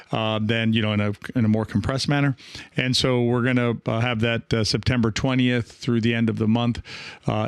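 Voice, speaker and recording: A man's voice: medium pitch, gravelly, clear, resonant tone, no echo, studio quality. A man hosting a coaching podcast in a casual monologue, speaking in a hesitant, rambling way as he thinks aloud. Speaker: podcast host and pickleball coach.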